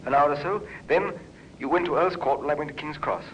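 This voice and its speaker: A male actor plays a young artist under suspicion of murder, pleading his innocence in a hesitant, halting way. The voice sits fairly high for a man, on a faintly noisy vintage radio drama recording. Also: a bit bright, natural voice. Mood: anxious pleading. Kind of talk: old-time radio drama acting. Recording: noisy old radio broadcast.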